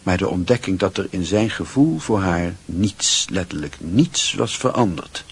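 A deep-voiced, very husky narrator of a literary radio drama. He reads in a brooding, dramatic storytelling style. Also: expressive, a little slow, full character voice. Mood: bitter, brooding.

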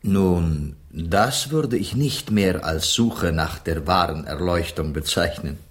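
A man's voice: deep voice, slow pace. An actor playing a courteous, skeptical Spanish poet in a literary radio drama, philosophical and sprinkling his speech with Spanish phrases. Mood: earnest, reflective.

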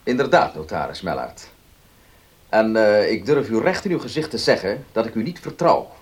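Indignant radio-drama heir: husky, swinging intonation, blunt, formal, distrustful. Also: male voice, on the low side, animated, normal speed. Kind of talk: Dutch radio play acting.

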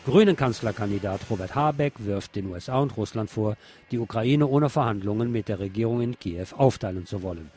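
A man's voice: on the low side, expressive, fairly quick, darkish tone, slightly rough. A man reading scripted news narration and commentary as a presenter.

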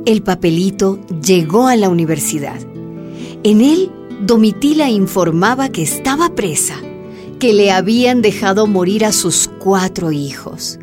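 A woman giving measured scripted narration for a radio drama. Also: mid-range voice, darkish tone.

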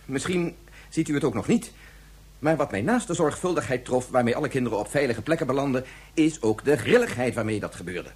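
Male scientist, radio drama. Very husky, expressive intonation, methodical and formal. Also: medium pitch. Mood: insistent, explaining. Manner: formal, deliberate, argumentative. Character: earnest male scientist and father, insistent, methodical. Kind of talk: Dutch science fiction radio drama acting.

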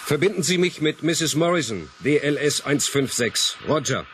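A man's voice: fairly high pitch, animated, normal speed, noisy background, natural voice. A man playing an impatient, commanding spaceship captain in a science fiction radio play, brusque and barking orders. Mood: curt.

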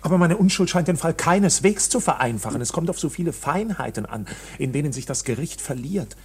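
Anxious, very raspy-voiced accused man. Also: a little high, expressive, darkish tone, full character voice. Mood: agitated. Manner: halting, agitated, insistent. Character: anxious, bewildered accused man, protesting his innocence, indignant. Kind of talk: German radio play acting.

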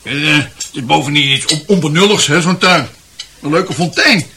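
A man's voice: fairly high pitch, normal speed, pushed and bright. A male actor playing a blunt, pompous, tipsy dinner guest in a radio drama, ranting and repeating himself, with dishes clattering. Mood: indignant ranting. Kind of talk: Dutch radio drama acting. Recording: noisy, clattering cutlery and dishes.

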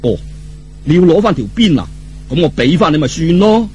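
A man's voice: on the high side, animated, fairly quick, warm tone, faint music behind, close to an everyday voice. A man voicing a naive, good-hearted young martial hero in a Cantonese audiobook, musing aloud guilelessly. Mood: innocent realization.

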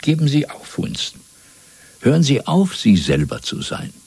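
An actor playing a coldly domineering party interrogator in a German radio play, lecturing in a measured, zealous way with a gravelly, husky voice. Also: male voice, medium pitch, wildly swooping pitch, no room sound, heavily acted. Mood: fanatical fervour. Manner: measured, lecturing, swelling from hushed to fervent.

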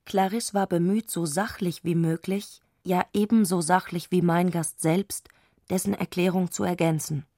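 A woman reading scripted literary narration for a radio play with measured, precise diction. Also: lowish voice, steady tone, mellow, no room sound, clean studio sound.

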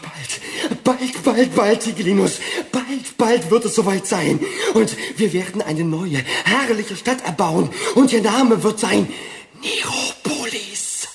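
An actor playing a vain, pompous Roman emperor of about thirty in a comic radio drama, whiny and theatrical. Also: male voice, high voice, animated, takes it slowly, creaky, heavily acted. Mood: gleeful megalomania. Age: 30s. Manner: pompous, affected, falsely modest.

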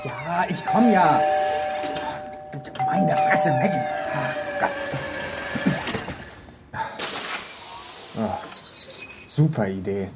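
An actor playing a wry, grumbling and excitable young techie in a naturalistic German radio play, speaking in halting slang. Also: male voice, on the high side, loud music behind the voice, heavily acted.

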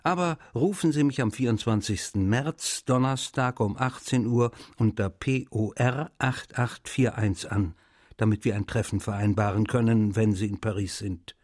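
A man's voice: on the low side, moderate pace, a little mellow, no room sound, clean studio sound, natural voice. A man playing a busy writer reading his letters in a casual, slightly snippy way for a radio feature. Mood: brisk, snippy.

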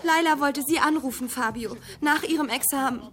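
A woman's voice: high voice, moderate pace, a bit bright, faint music behind. An actress playing a troubled young woman in a radio drama, confiding earnestly and hesitantly. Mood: regretful. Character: troubled young woman, ashamed of her brother.

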